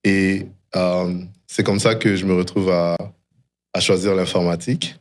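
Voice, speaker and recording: A middle-aged man with a low-pitched voice speaks as a guest in a conversation. He recounts things reflectively, in a storytelling way, and drops English phrases into his speech. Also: steady tone, a little slow. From DR Congo and Canada. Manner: mixes English phrases into French. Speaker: interview guest, tech founder.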